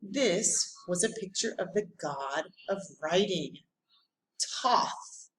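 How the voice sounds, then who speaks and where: A woman reading a storybook aloud like a teacher, with deliberate, dramatic phrasing and pauses. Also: fairly low pitch, animated, a bit bright, a little hiss or hum, low-quality recording. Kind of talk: expressive read-aloud of a children's history storybook.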